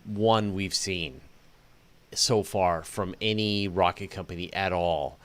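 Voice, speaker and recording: A man with a fairly low voice hosts a relaxed conversational interview. He thinks out loud, rewords his questions as he goes and slips in jokey asides. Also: slow pace. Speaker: interview host. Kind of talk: casual interview chat.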